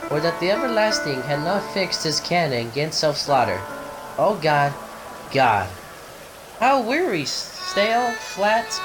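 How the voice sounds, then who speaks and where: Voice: medium pitch, rough recording. An actor playing a gloomy, weary young prince in mourning, speaking theatrical Shakespearean lines in broken, declamatory exclamations. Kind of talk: theatrical Shakespearean soliloquy and dialogue. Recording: music playing clearly behind the voice.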